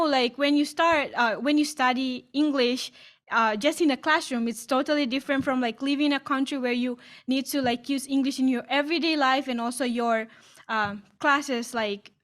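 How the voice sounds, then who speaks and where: This is a high-pitched young woman from East Timor whose first language is Tetun. She answers in English on a video call. She keeps dropping in "like" and often stops and restarts her sentences. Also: a bit bright. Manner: frequent "like" fillers, halting restarts. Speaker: student panelist. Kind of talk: online Q&A answer.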